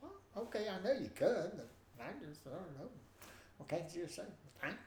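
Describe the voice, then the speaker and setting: An older man with a nasal voice, telling stories in an animated way as a guest in a conversation. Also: high-pitched, a little fast, slight background noise. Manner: animated, acts out quoted voices. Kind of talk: storytelling in a conversation. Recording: roomy with faint ambience.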